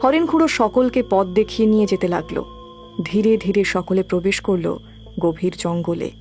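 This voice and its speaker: A woman's voice: medium pitch, moderate pace, a little mellow. A woman giving scripted, dramatic narration of a horror story. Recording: background music throughout.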